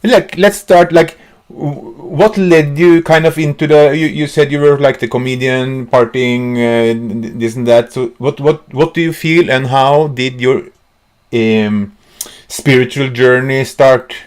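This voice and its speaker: A man's voice: mid-range voice, fairly even intonation, unhurried, a little mellow. Rambling man hosting an interview. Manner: rambling, stumbling questions. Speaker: host. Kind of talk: casual interview.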